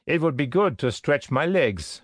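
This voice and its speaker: A man's voice: a little high, animated, a little slow, no echo, studio quality, pitched above the performer's own voice. A man voicing a keen, sardonic German army corporal in an audiobook, deferential but wry. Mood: eager.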